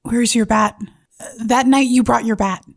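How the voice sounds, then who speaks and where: Woman acting as nervous young woman, sardonic, tentative, fairly high voice, script table read, dry sound. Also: fairly even intonation, normal speed, creaky, no echo. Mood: concerned. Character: nervous, wry young woman who jokes when scared.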